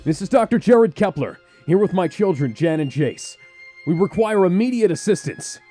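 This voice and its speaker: A man's voice: high voice, animated, moderate pace, mellow. A man playing a tender, resolute scientist father in a space comic drama, earnest and dramatic, over music. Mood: urgent. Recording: music under the voice.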